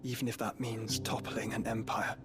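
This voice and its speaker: A man's voice: fairly low pitch, little pitch movement, moderate pace, close to an everyday voice. An actor playing an earnest, learned young nobleman in a fantasy game, speaking solemnly in formal, archaic phrasing. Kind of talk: dramatic fantasy game cutscene acting. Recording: music behind the voice. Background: music.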